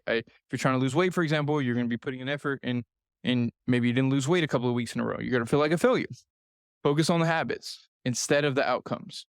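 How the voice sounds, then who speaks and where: A man's voice: fairly high pitch, dry recording. A man in his thirties with a slightly raised voice, coaching listeners through nutrition advice on his own podcast. He talks earnestly and repeats his points for emphasis. The sound is close and dry, with no room around it. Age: 30s. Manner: earnest, repetitive, speaks directly to listener. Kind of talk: solo podcast advice talk.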